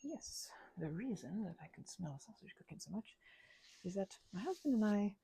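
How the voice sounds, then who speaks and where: Hushed, very breathy woman mumbling casual ASMR. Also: sing-song, normal speed, no room sound. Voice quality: hushed, breathy, often slipping into whisper. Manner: mumbling, low-key, musing to herself. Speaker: ASMR channel host. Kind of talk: rambling casual ASMR chat. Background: keyboard clicking.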